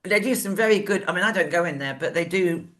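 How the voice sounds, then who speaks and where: A woman's voice: on the low side, fast talker, fairly bright tone. A woman co-hosting a talk show, chatting casually and giving opinions in a rambling, exasperated way.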